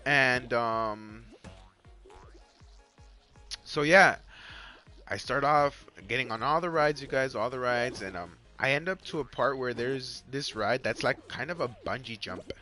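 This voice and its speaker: A young man with a Mexican accent rambles through a story to his stream viewers, circling back and repeating phrases. His voice is bright and forward at an ordinary pitch, with faint music playing in the background. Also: a little slow. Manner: rambling, repeats himself, lots of "like" and "you guys". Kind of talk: casual live-stream chat while gaming.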